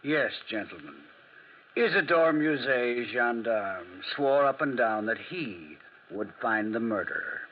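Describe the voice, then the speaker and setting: An actor playing a wry, measured investigator, narrating deliberately in a 1940s radio drama. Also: male voice, medium pitch, expressive. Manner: dry, deliberate. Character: wry, measured male investigator recounting a case. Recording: muffled lo-fi 1940s radio recording.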